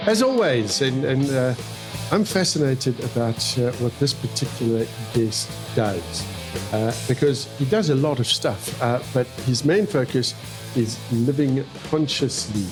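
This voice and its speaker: A man's voice: medium pitch, unhurried, over music. A man hosting an interview podcast, hesitant, with many fillers between phrases. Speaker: podcast host.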